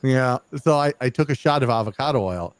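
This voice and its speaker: A man's voice: mid-range voice, fairly quick, clear, resonant tone, no room sound. A man hosting a live podcast over a video call, telling stories in casual chat and swearing freely. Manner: swears freely, excitable storytelling. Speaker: podcast host.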